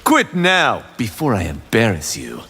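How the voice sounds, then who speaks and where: An actor voicing a cocky, boastful young martial arts fighter in a video game, speaking theatrically. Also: male voice, on the low side, wildly swooping pitch, a little slow, pushed and bright, heavily acted. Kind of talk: video game character acting.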